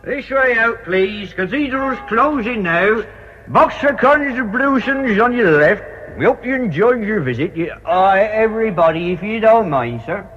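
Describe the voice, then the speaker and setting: An actor playing a boozy, resentful English cathedral guide in a radio drama, colloquial and blustering, with a husky voice. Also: male voice, high voice, lively intonation, moderate pace. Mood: officious. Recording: muffled radio drama, reverberant hall.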